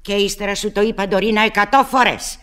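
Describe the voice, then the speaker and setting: A woman's voice: medium pitch, fairly even intonation, bright, forceful tone, close to an everyday voice. An actress playing a fussy, good-natured middle-aged landlady and mother in a Greek radio play, scolding fondly. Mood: scolding, apologetic. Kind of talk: Greek radio theatre acting.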